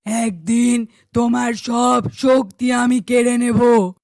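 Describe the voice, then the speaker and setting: A woman performs a jealous evil sorceress from a cartoon fairy tale. She commands slowly and evenly, with menace in every word, in a dry, close sound. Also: on the high side, fairly even intonation, vocal fry, a little mellow, dry recording. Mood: menacing.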